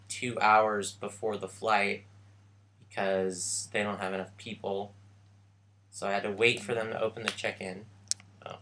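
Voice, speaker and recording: A young man tells how his journey went, slowly and haltingly, pausing often between phrases. His voice sits low and he never hurries. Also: a bit bright, a little hiss or hum. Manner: slow, halting recounting with many pauses. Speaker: vlogger. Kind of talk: casual travel vlog chat.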